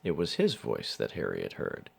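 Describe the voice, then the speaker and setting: Male storybook narrator, low and gravelly, with lively swings in pitch. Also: moderate pace. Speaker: father reading a picture book. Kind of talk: children's storybook narration read aloud.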